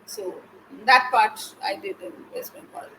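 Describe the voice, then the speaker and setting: A woman's voice: a little high, big pitch swings, a little slow, fairly bright tone, a little hiss or hum, rough recording. A young woman, a tutor asking friendly, curious questions. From India. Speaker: English tutor. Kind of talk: casual online conversation.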